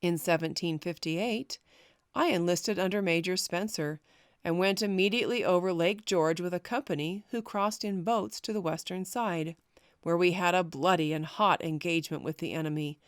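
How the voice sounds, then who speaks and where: A woman narrating a historical memoir as an audiobook, reading in a measured, formal way. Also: fairly low pitch, clear, resonant tone, no echo, studio quality.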